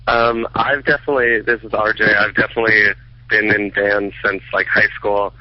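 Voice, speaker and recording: Low-voiced twenties man, easygoing. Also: little pitch movement, normal speed, dry recording. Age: 20s. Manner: easygoing, listing briefly. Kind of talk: casual radio interview. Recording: dry, muffled lo-fi radio recording.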